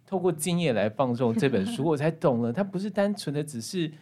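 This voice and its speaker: A man's voice: on the high side, expressive, a little fast, warm tone. A man with a Taiwanese Mandarin accent hosting a conversational book interview, thinking aloud and exclaiming with interest. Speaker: podcast host and interviewer.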